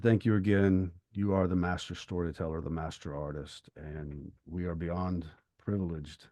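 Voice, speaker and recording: A man with a notably deep voice, recorded close and dry. He speaks as a podcast co-host, reflective and earnest, often pausing to find his words. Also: a little mellow, no echo. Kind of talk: reflective literary discussion and prayer.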